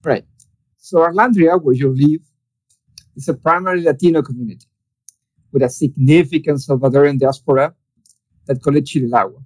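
A man's voice: fairly high pitch, fairly quick, long pauses, no room sound, poor audio. Formal male series host, dry sound. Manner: formal, reads a prepared introduction. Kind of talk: hosting an interview series.

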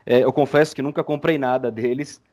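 A man's voice: mid-range voice, steady tone, a little fast, darkish tone, dull audio. A man from Brazil with a Brazilian accent, chatting casually as a podcast guest and explaining his editing work. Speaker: podcast guest, audiodrama editor. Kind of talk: casual explanatory interview chat.

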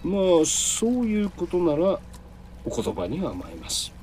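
Gruff middle-aged antihero, male actor, radio drama; curt masculine phrasing, lively intonation. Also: fairly high pitch, measured pace, slight background noise, full character voice. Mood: gruff, awkward. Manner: blunt, terse, old-fashioned masculine phrasing. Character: gruff hardboiled middle-aged man, a reluctant spirit medium. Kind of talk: radio drama dialogue and narration.

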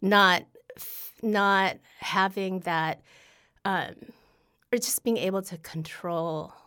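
A woman's voice: medium pitch, fairly even intonation, takes it slowly, pushed and bright, clear, resonant tone. A woman in her fifties, a podcast guest in casual chat, who laughs often and jokes about herself. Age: 50s. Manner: laughs often, self-deprecating.

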